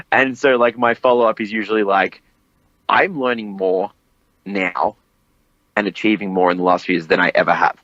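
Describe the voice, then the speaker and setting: A man's voice: fairly low pitch, normal speed, long pauses, no echo, dull audio. Laid-back young man, host. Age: 20s. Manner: animated, swears freely, laughs often. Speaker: podcast host. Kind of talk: casual conversation.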